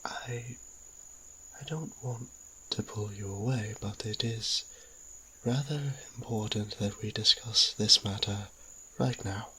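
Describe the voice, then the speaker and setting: A man playing a gentle, anxious vampire priest in an audio drama, hesitant and politely formal, with a husky, breathy voice. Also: fairly low pitch, fairly even intonation, takes it slowly, a little hiss or hum, heavily acted, poor audio. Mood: flustered, awkward. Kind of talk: scripted audio roleplay acting.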